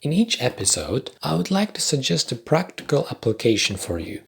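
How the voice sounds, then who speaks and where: A young man whose first language is Polish, hosting a podcast with a wry, self-deprecating, deliberately paced scripted monologue. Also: fairly high pitch, very animated, vocal fry, slightly rough. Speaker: podcast host.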